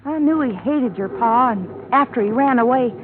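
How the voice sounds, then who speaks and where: A woman voicing an obsessive young hired girl in an old radio play. Her high voice pleads low and urgently. The sound is tinny with a faint hiss. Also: animated, moderate pace, close to an everyday voice. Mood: pleading, confessing. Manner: low, pleading, intense confession. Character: hired girl Millie, obsessive, guilt-ridden murderer. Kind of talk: 1950s radio drama acting.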